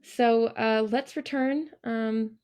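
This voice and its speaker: A woman hosting an event, making informal announcements in a polite, halting way. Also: fairly high pitch, steady tone, a little mellow, clean tone. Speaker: event host.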